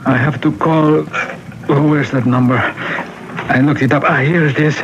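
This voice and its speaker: A polite, higher-voiced parish priest. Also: male voice, steady tone, measured pace. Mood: polite, businesslike. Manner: polite, hesitant, gently deferential. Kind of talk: old-time radio mystery drama acting. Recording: muffled vintage radio broadcast, background hiss.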